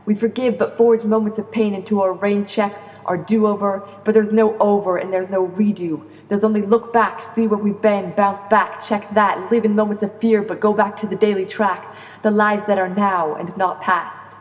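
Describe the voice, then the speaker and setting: A woman performing spoken-word slam poetry in a rhythmic, earnest, deliberate way. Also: medium pitch, fairly even intonation, highs cut off. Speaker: slam poet. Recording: echoey reverberant room.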